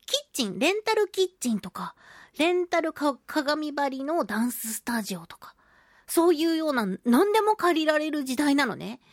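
A woman hosting a solo radio talk show, chatting and reading out listener mail with animated, playful reactions. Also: high-pitched, moderate pace, no room sound, clean studio sound. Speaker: radio show host, voice actress.

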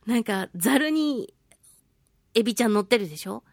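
A woman's voice: a little high, animated, brisk, a little mellow, no room sound, clean studio sound. A woman hosting a solo talk show, chatting casually and telling stories in a playful, giggly manner with sound-effect words. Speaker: radio show host, voice actress.

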